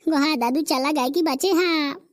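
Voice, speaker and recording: A young village grandson, voiced by a performer, sounds high and light. He speaks in a Bundelkhandi accent and swings between pleading and teasing. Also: a little slow. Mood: wailing.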